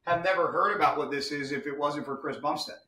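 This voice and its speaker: A man in his forties hosting a casual podcast chat, blunt and animated, swearing freely. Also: fairly high pitch, fast, echoey room, soft background music, rough recording. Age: 40s. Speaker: podcast host.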